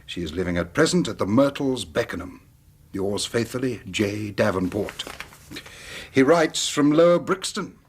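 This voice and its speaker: Male British radio-drama gentleman, played by an actor: genteel, measured, clever, highly inflected, light background noise. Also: lively intonation, close to an everyday voice. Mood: measured, formal. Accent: British English. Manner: measured, courteous, urbane. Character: genteel, unhurried elder gentleman, brilliant and composed.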